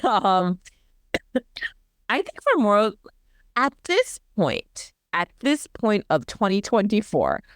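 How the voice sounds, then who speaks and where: A woman's voice: fairly low pitch, clean tone, no room sound, studio quality. A woman co-hosting a podcast whose first language was Korean, speaking conversationally and searching for words as she explains ideas. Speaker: podcast co-host. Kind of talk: casual academic discussion.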